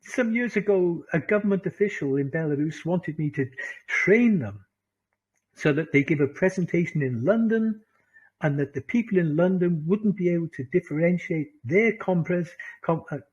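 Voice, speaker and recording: A Welsh man with a fairly high, husky voice leads an online English lesson. He is animated, laughs often and drives points home by repeating them. The audio is dull and muffled, like a video call. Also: normal speed. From Wales. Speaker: English teacher. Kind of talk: online English class talk.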